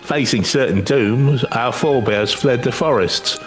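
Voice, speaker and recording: A man's voice: medium pitch, measured pace, faint music behind, lightly acted. A man voicing the ceremonious father of a small mousy tribe in a video game, speaking in a formal, grandiloquent way. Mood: solemn. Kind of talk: video game story dialogue read aloud.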